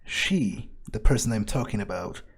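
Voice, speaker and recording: A man with a husky voice doing in-character commentary on a game, musing aloud to himself. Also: on the low side, animated, normal speed. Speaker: gaming video maker.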